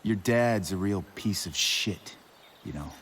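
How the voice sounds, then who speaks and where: A man's voice: deep voice, a bit bright, slight background noise. An actor playing a volatile, sarcastic, cruel male drug dealer in a video game, taunting as he talks. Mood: gleeful contempt. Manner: taunting, drawn-out, sarcastic delivery.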